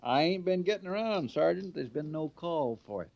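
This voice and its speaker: An actor playing a bigoted, needling ex-convict army recruit in an old radio western, with a folksy, ungrammatical drawl. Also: male voice, a little high, animated, normal speed, creaky, a little mellow. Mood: grumbling. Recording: muffled vintage radio recording.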